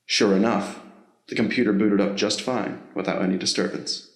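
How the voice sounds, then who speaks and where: Low-voiced man narrating, roomy echo. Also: fairly even intonation, normal speed. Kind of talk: scripted story narration. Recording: roomy, echoing voice recording.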